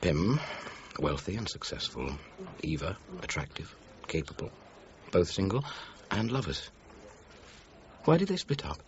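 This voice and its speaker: An actor playing a courteous, methodical police chief inspector who questions politely and deliberately in a radio crime drama. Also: male voice, low voice, big pitch swings, dull audio, slight background noise. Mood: probing.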